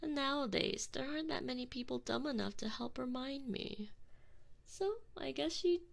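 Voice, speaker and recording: A woman's voice: high-pitched, normal speed, vocal fry. A woman playing a sadistic, possessive female alien enforcer in an ASMR roleplay, close on the microphone, taunting with theatrical, sweet menace. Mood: menacing, mocking. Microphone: close binaural microphone.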